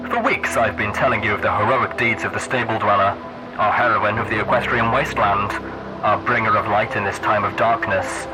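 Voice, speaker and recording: An earnest, troubled wasteland radio DJ, played by a man speaks solemnly to his listeners like a preacherly announcer. His voice is fairly low and loud music plays beneath the whole broadcast. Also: natural voice, low-quality recording. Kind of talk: post-apocalyptic radio broadcast monologue.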